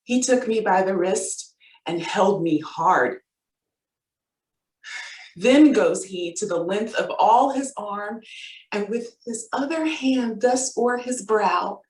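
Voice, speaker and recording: A young woman playing a frightened young noblewoman in a Shakespearean stage monologue, with a formal, measured, dramatic delivery. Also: on the high side, a little mellow. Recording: roomy, distant microphone.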